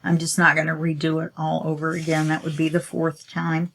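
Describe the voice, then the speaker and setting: Low gravelly woman, stuffy and chatty. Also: monotone, a little fast, fairly bright tone, slight background noise. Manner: chatty, self-talking while working, sniffly. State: stuffy nose. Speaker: crafting channel host. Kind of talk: casual crafting tutorial talk.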